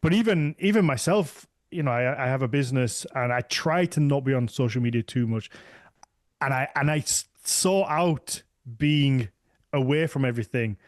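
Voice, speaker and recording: Male podcast host, mid pitch, dry, loose and rambling. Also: moderate pace, dry recording. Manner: rambling, trails off mid-thought. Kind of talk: casual podcast chat.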